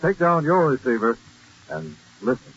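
A man's voice: a little high, expressive, normal speed, fairly bright tone, breathy edge. A man working as a radio announcer, reading scripted narration and sponsor messages in 1930s broadcast style. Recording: dull 1930s radio broadcast, faint music.

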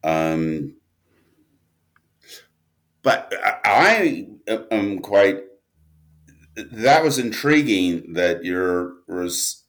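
Low-pitched, slow-talking man, casual and rambling. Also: big pitch swings, fairly bright tone. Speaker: interview guest, audio drama writer and producer. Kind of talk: casual reflective conversation.

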